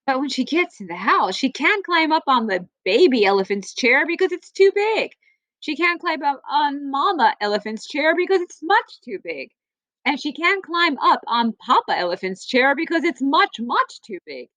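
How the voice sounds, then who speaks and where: A woman with a fairly high voice reads to children in an expressive storybook style. Her pitch swings widely, with playful emphasis and stretched-out phrases. The sound is very dry, with little room echo. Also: moderate pace. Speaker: teacher or school librarian reading aloud.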